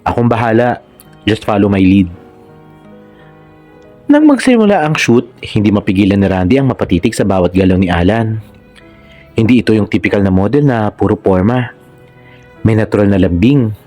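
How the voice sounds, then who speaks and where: A man's voice: fairly low pitch, animated, a little fast, warm tone, faint music behind. A man with a Filipino accent reading a scripted romantic story as narrator. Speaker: narrator and channel host.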